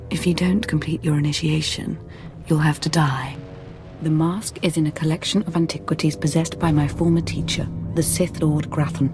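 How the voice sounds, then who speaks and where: A female Sith cultist from a video game, devout and obsessive. Her voice sits on the low side and carries hushed, reverent intensity, with music playing underneath. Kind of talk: video game cutscene acting.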